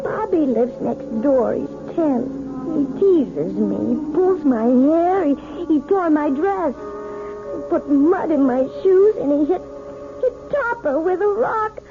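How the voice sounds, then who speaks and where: A woman acting in a vintage radio drama as a hypnotized patient regressed to a little girl, childlike and halting. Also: high-pitched, expressive, music in the background, exaggerated voice. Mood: resentful. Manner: childlike, halting, repeating phrases. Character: woman hypnotized back into a frightened, guilt-ridden little girl. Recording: muffled vintage radio recording.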